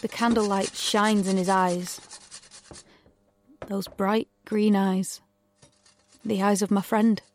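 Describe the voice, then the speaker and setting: A woman's voice: mid-range voice, flat delivery, slow, long pauses, slight background noise, close to an everyday voice. An actress playing a wary, defiant young woman in a dystopian audio drama, in both dialogue and intimate narration. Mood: tense, shaken. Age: young adult.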